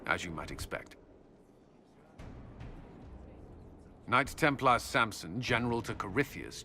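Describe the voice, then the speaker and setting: An actor playing an earnest, soft-spoken military commander with a halting manner, in dramatic video game dialogue. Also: male voice, on the low side, a little hiss or hum, heavily acted. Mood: stern, angry. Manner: hesitant and halting in intimate talk.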